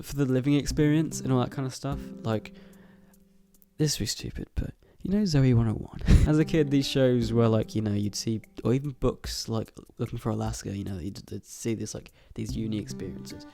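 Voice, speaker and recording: A young man with a stuffy nose from a cold, rambling casually to camera about moving into his student flat, swearing often and laughing between thoughts. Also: mid-range voice, creaky. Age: young adult. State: congested from a cold. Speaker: YouTuber. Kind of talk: casual vlog chat to camera.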